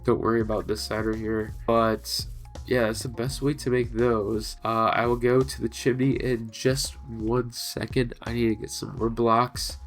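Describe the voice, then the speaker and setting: A man with a stuffy, congested nose from allergies, casually walking through a building tutorial over gameplay, with relaxed, chatty instructions. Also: mid-range voice, measured pace, faint music behind. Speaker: gaming video creator.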